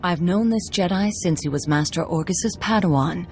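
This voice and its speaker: An actress playing a loyal, dignified Jedi master in a video game, formal and steady. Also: female voice, fairly low pitch, moderate pace, faint music behind, natural voice. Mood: assured. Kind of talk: cinematic video game acting.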